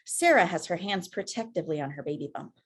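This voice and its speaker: Brief: female narrator, slightly low pitch, reads screenplay action lines on a video call. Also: expressive, fast talker, slightly rough. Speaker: stage-direction narrator. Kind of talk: scripted narration at a table read.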